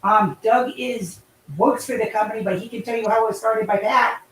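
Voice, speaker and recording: A woman's voice: a little high, steady tone, brisk, slight background noise. A woman hosting a casual live stream, chatty and loose, talking through an in-ear microphone. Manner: chatty, loose, lots of fillers. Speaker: livestream host. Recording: echoey room audio.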